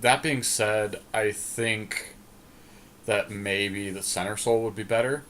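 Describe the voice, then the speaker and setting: A man co-hosting a podcast, casually commentating on a card game draft and reasoning out loud. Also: on the low side, steady tone, slow, a little hiss or hum. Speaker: podcast co-host.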